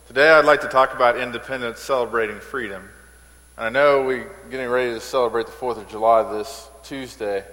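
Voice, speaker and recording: Man preaching in a reverberant hall. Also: a bit bright. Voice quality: says he has a squeaky voice. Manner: conversational preaching, repeats words, rises in emphasis. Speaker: pastor. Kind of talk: sermon.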